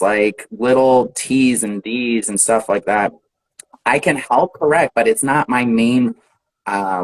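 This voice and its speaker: An American man, an English teacher, chatting casually on a live video call, relaxed and laughing often. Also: mid-range voice, poor audio. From United States.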